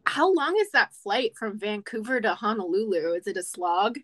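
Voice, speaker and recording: A woman's voice: medium pitch, lively intonation, normal speed, a bit bright. A woman co-hosting a podcast in casual chat, playful and sarcastic, laughing often. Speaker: podcast co-host.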